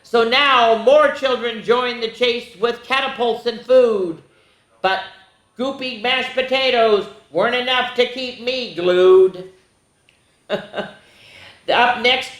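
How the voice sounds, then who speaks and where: A woman voicing a proud, excitable turkey who tells its tale in dramatic picture-book rhyme. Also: fairly high pitch, pitched above the performer's own voice. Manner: boastful, dramatic rhyming storytelling. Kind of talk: rhyming picture-book read-aloud. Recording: roomy, echoing room sound.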